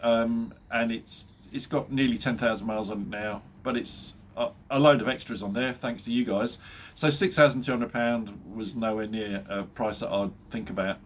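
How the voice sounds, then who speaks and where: A middle-aged Englishman talks to his viewers in an easy, rambling way, and he sounds nasal and stuffed up from a cold. His voice sits at an ordinary middle pitch, and he breaks into chuckles at his own jokes. A faint hiss of background noise runs underneath. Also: fairly even intonation, normal speed. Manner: chatty, jokey, laughs at his own jokes, many fillers. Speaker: motorbike vlogger. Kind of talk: casual chat to camera.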